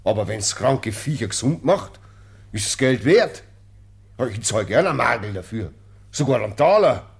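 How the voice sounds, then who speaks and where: An actor playing a scornful, self-righteous veterinary official in a German radio play, with a Bavarian accent and an indignant, emphatic way of talking. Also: male voice, medium pitch, big pitch swings, moderate pace, creaky, slightly rough, heavily acted. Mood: sly, folksy.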